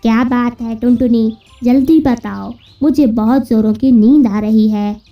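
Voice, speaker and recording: A woman's voice: fairly high pitch, a little slow. A woman voicing a lazy, dismissive, spiteful male crow in a children's cartoon story. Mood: grumpy, dismissive. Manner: dismissive, scolding. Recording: muffled, distant-sounding, music behind.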